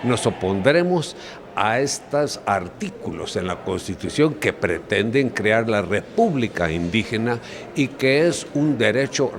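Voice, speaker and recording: A man's voice: on the low side, background noise. A man making a firm public statement outdoors. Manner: declarative, firm.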